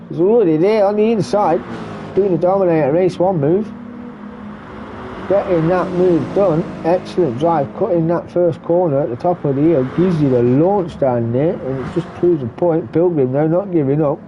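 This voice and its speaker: A man giving relaxed running commentary on a sim race, stuffy and muffled from a cold. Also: fairly high pitch. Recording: muffled lo-fi recording with background noise.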